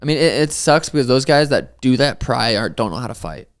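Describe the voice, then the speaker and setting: Podcast co-host: young guy, easy swearing storyteller, clean smooth voice, mid pitch. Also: animated, fast talker. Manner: loose, swearing storyteller, laughs often. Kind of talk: casual podcast chat.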